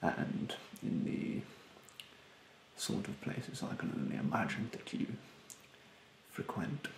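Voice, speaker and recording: A young man playing a rude, condescending facial therapist in an ASMR roleplay, speaking softly and breathily in a haughty, halting manner. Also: deep voice, sing-song, echoey room, slight background noise, heavily acted. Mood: snide, dismissive. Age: young adult. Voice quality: soft, breathy, partly whispered. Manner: haughty, halting, drawn-out delivery. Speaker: ASMR performer playing a beautician. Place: bedroom, indoors.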